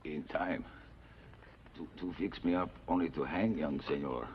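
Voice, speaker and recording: An actor playing an aging, courtly Mexican outlaw leader in a 1950s television western, speaking formally with a Mexican accent. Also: male voice, extremely low pitch. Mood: dying, resigned. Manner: formal, deliberate phrasing with Spanish words. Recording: muffled old TV soundtrack, faint noise.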